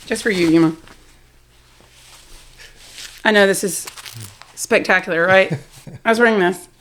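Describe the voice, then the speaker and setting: A talkative woman with a Southern US accent, speaking candidly and loudly as an interview guest. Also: medium pitch, slow pace, long pauses, a little hiss or hum. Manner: loud, talkative, self-deprecating. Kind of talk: candid personal conversation.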